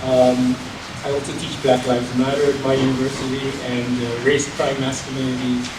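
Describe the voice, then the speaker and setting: A man's voice: mid-range voice, monotone, normal speed. A man introducing himself informally as a professor on a panel. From Trinidad. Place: indoor public panel event. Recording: echoey room, distant mic, very noisy.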